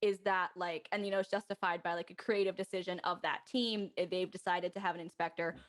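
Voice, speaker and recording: A woman's voice: fairly low pitch, steady tone, a little fast. Casual, analytical woman in conversation. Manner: analytical, thinking aloud. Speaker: cast member discussing an audio drama. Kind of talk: casual group chat.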